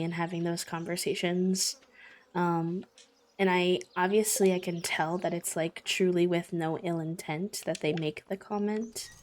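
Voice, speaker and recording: A woman with a fairly low voice chats casually on her livestream while she plays. Her voice is a little croaky, as if she just woke up. She talks in a thoughtful, hesitant way, with plenty of ums and likes. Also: darkish tone. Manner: thoughtful, hesitant, many fillers. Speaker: streamer.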